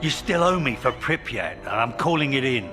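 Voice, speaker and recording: An actor playing a hard-bitten, defiant British special forces soldier in a game, a man who talks gruffly and tersely. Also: medium pitch, sing-song, moderate pace, music in the background, natural voice. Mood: bitter, demanding. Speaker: actor in a military shooter game. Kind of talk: cinematic game voice acting.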